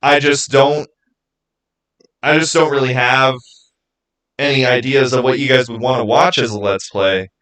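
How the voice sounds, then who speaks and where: A man hosting a livestream, talking informally and haltingly as he thinks aloud and answers his viewers. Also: medium pitch, steady tone, a bit bright. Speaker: streamer hosting a live channel Q&A. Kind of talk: casual livestream chat, answering viewer comments.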